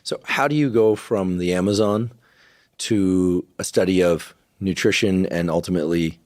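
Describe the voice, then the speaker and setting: Deep calm male podcast host. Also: normal speed, no echo, studio quality. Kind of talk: podcast interview.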